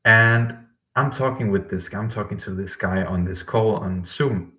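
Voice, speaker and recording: A man's voice: on the low side, moderate pace, bright, forceful tone. A man hosting a live-stream question session as a language coach, rambling with frequent ums and self-corrections. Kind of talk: live-stream talk to chat viewers.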